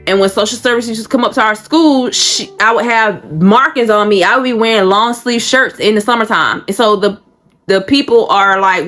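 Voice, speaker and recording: A woman's voice: medium pitch, a bit bright. A woman in her thirties telling a painful personal story in a blunt, emotional way, swearing freely. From Georgia, USA. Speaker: vlogger.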